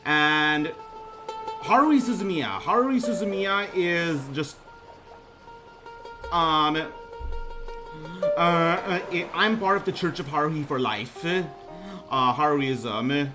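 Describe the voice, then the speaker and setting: A young man with a baritone voice introducing himself on his debut stream, talking haltingly with lots of ums and restarts. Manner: hesitant, many ums and restarts. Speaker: VTuber streamer. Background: music playing.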